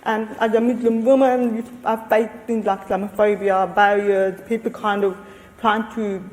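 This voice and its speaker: A woman speaking on a panel as a researcher, thoughtful and halting, often restarting her sentences. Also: medium pitch, steady tone, a little mellow. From Australia. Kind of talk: panel discussion answers. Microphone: handheld microphone. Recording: long hall reverb.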